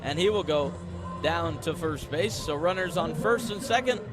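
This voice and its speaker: A man's voice: a little high, moderate pace, music in the background. A young American man doing live radio play-by-play, conversational, stretching words on the big calls. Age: young adult. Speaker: play-by-play sports announcer.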